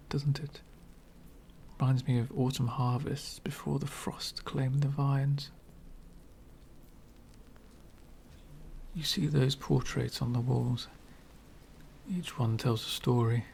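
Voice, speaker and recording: A man playing an elegant, centuries-old vampire host in an ASMR roleplay, lonely, wry and courtly, soft-spoken with frequent whispers and gentle condescension. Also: mid-range voice, a little hiss or hum. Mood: gently hospitable. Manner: soft-spoken, often dropping into breathy whispers.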